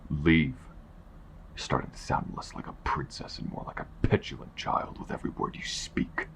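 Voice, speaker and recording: A man playing a bitter older king and cold father, imperious and gravelly, in an audio roleplay. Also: very deep voice, fairly even intonation, moderate pace, a bit bright, slight background noise. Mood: angry, contemptuous. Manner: imperious, formal.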